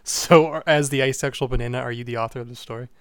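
Smooth-voiced man thinking out loud. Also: medium pitch, steady tone, quick pace, dry recording, studio quality. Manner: thinks aloud, restarts, swears casually. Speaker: co-player. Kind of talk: casual chat over a game.

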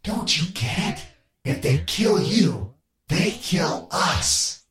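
A man's voice: fairly high pitch, wildly swooping pitch, takes it slowly, fairly bright tone, voice pushed up, full character voice. A man voicing an arrogant, bossy swarm of alien parasites with a male voice, heard through an echoey layered filter. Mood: insistent. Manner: arrogant, bossy, hissing urgency.

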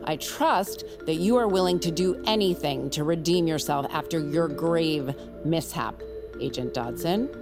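A woman's voice: low-pitched, unhurried, music in the background. A woman playing a cold, controlling senior agent in an audio drama, with clipped, commanding, formal delivery. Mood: measured authority. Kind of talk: scripted audio drama acting.

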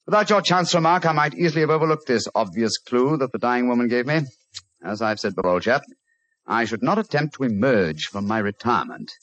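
An actor playing a gracious, self-deprecating retired British detective in a 1940s radio drama, with a husky voice. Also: male voice, medium pitch, sing-song, fairly quick, a bit bright. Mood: wry, modest. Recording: dull, lo-fi old radio recording.

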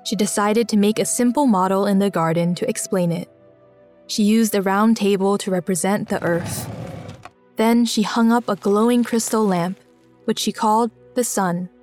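A woman reading a scripted biographical story for children in a measured, clearly enunciated storytelling way. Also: medium pitch, darkish tone, faint music behind. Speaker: narrator of a children's biography podcast.

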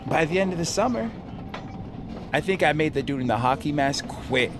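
A man's voice: on the high side, animated, moderate pace, noisy background, natural voice. An actor playing a casual, fearless coworker, chummy and earnest as he tells stories in an audio drama. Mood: nostalgic. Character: casual, fearless coworker confiding a childhood story. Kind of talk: audio drama dialogue, storytelling. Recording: music bed under voice.

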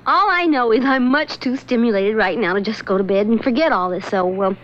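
An actress playing an excitable young woman in a radio drama, eager and nervously giggly. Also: fairly high pitch, brisk, natural voice. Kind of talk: 1970s radio drama acting. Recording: lo-fi old radio broadcast, faint hiss.

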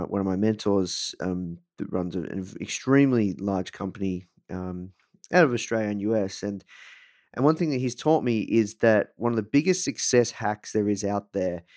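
A man hosting a casual interview, forming long questions haltingly and repeating phrases as he goes. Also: lowish voice, a little mellow, dry recording, studio quality. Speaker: podcast host, interviewer.

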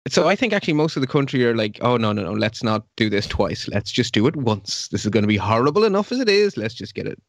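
A man's voice: mid-range voice, sing-song, quick pace, creaky, hardly any pauses, no room sound. Brief: wry man, mid-range voice, very dry close sound, long explanations. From Belgium. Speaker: podcast co-host. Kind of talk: casual current-affairs chat.

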